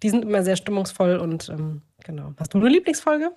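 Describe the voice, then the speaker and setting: A woman's voice: medium pitch, very animated, fairly quick, dark tone. A woman co-hosting a podcast, chatting casually and informally with enthusiasm. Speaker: podcast co-host.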